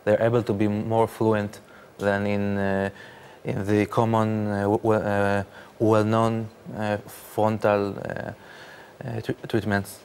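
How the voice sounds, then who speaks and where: A man, speaking haltingly with many fillers as a guest in a studio interview. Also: fairly low pitch, completely flat delivery, darkish tone, a little hiss or hum. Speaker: interview guest, startup founder.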